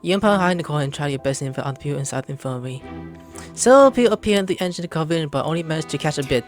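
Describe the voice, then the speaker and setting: A woman's voice: sits low, lively intonation, racing, soft background music. A woman hosting a gameplay video, casually commenting and reading dialogue aloud. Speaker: gaming video host.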